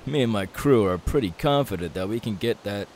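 A young pirate captain, acted out by a man in an audio roleplay, confident and loyal to his crew. His voice is low and swings in a lively way as he reassures without hurry. A faint steady hiss sits behind him. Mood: confident, relaxed. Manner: easygoing, unhurried, reassuring.

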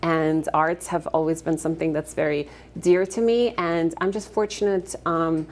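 A woman in her thirties from Afghanistan, with a notably low voice, speaks earnestly and fluently with soft ums. She is a guest on a TV studio set, talking into a lapel mic. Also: fairly even intonation, normal speed. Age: 30s. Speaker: actress guest. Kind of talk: morning TV interview.

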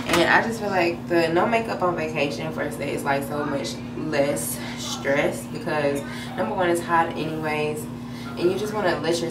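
Woman, 20s, chatty lifestyle vlogger, fresh from a nap, recorded off a distant camera in a roomy-sounding bathroom with loud room hiss. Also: low voice. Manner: chatty, laid-back, slangy, talking while styling her hair. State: a little deeper than usual after a nap. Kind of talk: casual vlog chat. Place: hotel bathroom, indoors, small. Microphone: camera on the counter at arm's length. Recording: echoey bathroom, mic at a distance.

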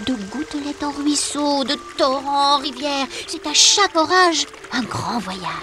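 A gentle guiding sky spirit. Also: female voice, sits high, a little slow, background noise. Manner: gentle, explaining.

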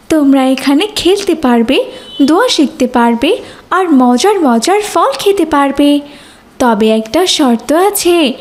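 A woman's voice: sits high, darkish tone. A woman voicing a kindly, welcoming fairy in a children's cartoon, speaking in a formal, gracious way. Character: kindly, welcoming female fairy of a magic realm.

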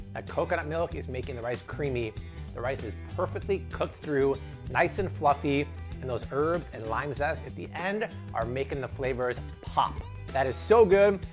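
Upbeat Chicago man hosting a cooking show. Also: a little high. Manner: upbeat, playful, salesy. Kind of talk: energetic cooking demo. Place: home kitchen, indoors.

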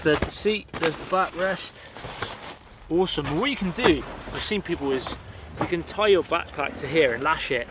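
A man in his thirties from the UK, chatting casually and excitedly to camera while setting up gear outdoors. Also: a little high. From United Kingdom. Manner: upbeat, chatty, slangy. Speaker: outdoor vlogger. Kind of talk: casual show-and-tell to camera. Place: windy pebble beach outdoors. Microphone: camera mic outdoors. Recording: wind noise on outdoor mic. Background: wind and shore ambience.

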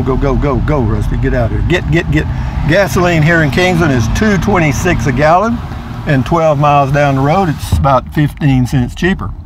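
A man's voice: medium pitch, background noise. An older man, narrating a casual vlog from inside his car, chatty and rambling, repeating words playfully. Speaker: vlogger.

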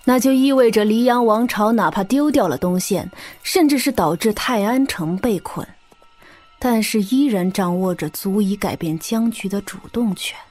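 An actress playing an astute, composed woman in a martial-arts audio drama, speaking in a serious, analytical way with a husky voice. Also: mid-range voice, normal speed, darkish tone, lightly acted. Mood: grave, reasoning. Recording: music behind. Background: background music.

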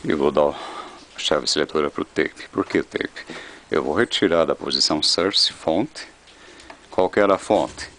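A man's voice: rumbling low, unhurried, a little hiss or hum. A man presenting a product demonstration as a vintage audio seller, recorded indoors. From Brazil. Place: indoor room. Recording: music playing over the voice. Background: loud guitar music.

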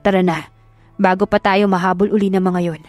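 A woman's voice: medium pitch, flat delivery, a little fast, no room sound, faint music behind, lightly acted. Urgent, scolding young Filipino hero, acted by a woman.

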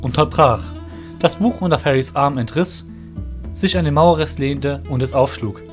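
A man's voice: fairly high pitch, normal speed. A man reading scripted fantasy narration as the narrator of an audio drama. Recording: music behind the voice.

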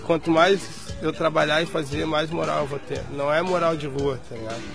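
A man's voice: a little high, steady tone, brisk, creaky, over music. A man in his thirties, an activist in an interview, talking earnestly and airing grievances with many tag questions.

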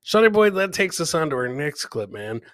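A middle-aged man hosts a casual comedy stream with a bright, slightly high voice. He is chatty and jokey and likes to say a line twice. The sound is dry and close. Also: sing-song, normal speed, no echo. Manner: chatty, jokey, repeats phrases. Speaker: comedy host. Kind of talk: casual live reaction commentary on viral clips.

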